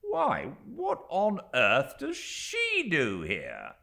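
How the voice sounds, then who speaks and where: A bold young gentleman from a classic novel , acted by a male narrator. His pitch swings widely as he teases and commands, with an imperious, theatrical flair. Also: huge pitch swings, extremely slow, gravelly, heavily acted. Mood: astonished. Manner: exclamatory, imperious, teasing. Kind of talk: audiobook character voice.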